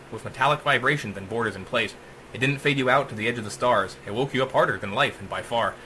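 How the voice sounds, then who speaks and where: A man narrating a dark rhyming story as a dramatic audiobook, with theatrical, emphatic rhythm. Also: mid-range voice, a little hiss or hum, poor audio.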